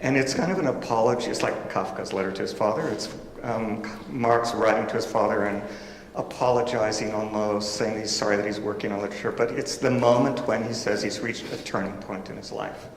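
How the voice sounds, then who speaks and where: A man giving conference remarks as a panelist, thoughtful and halting, with frequent restarts. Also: fairly low pitch. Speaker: academic panelist. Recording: roomy hall with long reverb, faint noise.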